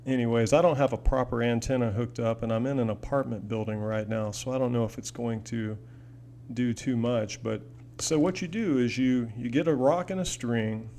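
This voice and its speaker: A man's voice: mid-range voice, fairly even intonation, moderate pace, mellow. Rambling man over noisy hum. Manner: rambling, folksy, enthusiastic. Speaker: hobbyist video host. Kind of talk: casual product demo and chat. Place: indoors in an apartment. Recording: noisy home recording with audible hum.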